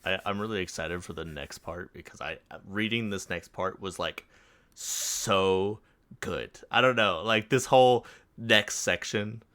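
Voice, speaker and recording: A man's voice: sits low, a little slow, fairly bright tone. A man chatting casually and enthusiastically as a podcast co-host. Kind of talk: casual chat.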